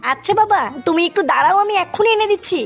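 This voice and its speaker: A woman's voice: very high-pitched, rapid-fire, faint music behind. A woman voicing an obliging young daughter-in-law in a comedy cartoon, respectful and soothing.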